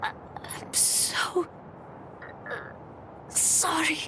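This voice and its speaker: An actress playing a meek, devoted young servant in an anime game, halting, faint and submissive. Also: female voice, noisy background. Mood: pained, apologetic. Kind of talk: anime video game dub acting.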